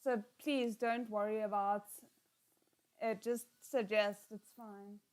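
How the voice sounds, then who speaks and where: A woman's voice: mid-range voice, little pitch movement, slow. A woman hosting a live reaction stream, chatting casually with viewers in an informal, encouraging and self-deprecating way. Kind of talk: casual livestream chat with viewers.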